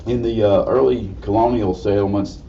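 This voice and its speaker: A man with a slightly raspy voice gives an informal history lecture in a folksy, halting way. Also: lowish voice, steady tone, warm tone. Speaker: guest lecturer. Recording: distant mic, dull muffled sound, faint noise.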